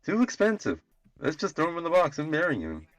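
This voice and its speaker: A man's voice: a little high, huge pitch swings, a little fast, no echo, voice pushed up. A man voicing a callous, stingy, bossy cartoon father in a comedy script read. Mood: callous, irritated.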